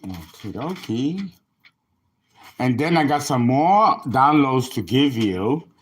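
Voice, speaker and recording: A man's voice: medium pitch, very animated, slow, husky. A man, an astrologer and tarot reader, chatting casually on a livestream and rambling as he reads viewer comments aloud.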